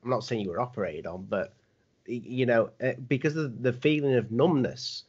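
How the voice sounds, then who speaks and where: A man's voice: medium pitch, moderate pace, darkish tone, slightly rough. A man in his thirties hosting a casual video-call interview, asking chatty, rambling questions. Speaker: host. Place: home room indoors. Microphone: webcam on video call. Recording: dull lo-fi video call audio.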